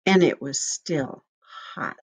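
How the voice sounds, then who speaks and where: Female storybook reader for young listeners: very slow, deliberate delivery, lively melodic swings. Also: low-pitched, husky. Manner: expressive, deliberate, dramatic phrasing. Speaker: school staff member reading to children. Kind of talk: storybook read-aloud.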